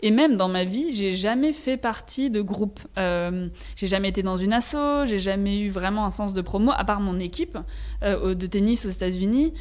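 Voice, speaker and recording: A young woman with a French accent speaks as a guest in a casual interview. Her voice sits at a middle pitch. She is candid and conversational, often pausing to find the right word. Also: darkish tone. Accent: French from France. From France. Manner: hesitant, searching for words, candid.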